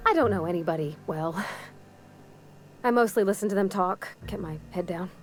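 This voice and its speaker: A woman's voice: mid-range voice, normal speed, soft background music, natural voice. An actress playing an earnest, shy young woman mechanic in a frontier colony video game, speaking in a hesitant, folksy, polite way. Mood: nervous, earnest.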